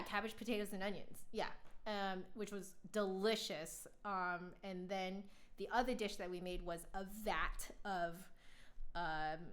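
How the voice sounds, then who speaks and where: A woman's voice: medium pitch, fairly bright tone. A young adult woman chatting casually on a live stream, rambling with lots of fillers while answering viewers. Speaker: flutist streamer.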